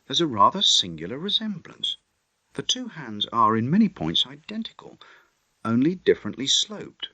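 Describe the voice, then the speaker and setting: Audiobook clerk, played by a male reader: deferential, careful, hesitant, husky edge, very lively pitch. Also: normal speed, no echo, heavily acted, low-quality recording. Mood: hesitant, tactful. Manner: hesitant, polite, formal. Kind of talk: audiobook character acting.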